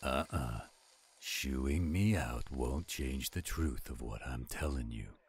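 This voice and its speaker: A man's voice: rumbling low, slow, breathy edge, full character voice. A man playing a pushy, loyal friend in a roleplay audio drama, steadily teasing and insisting. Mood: teasing, insistent.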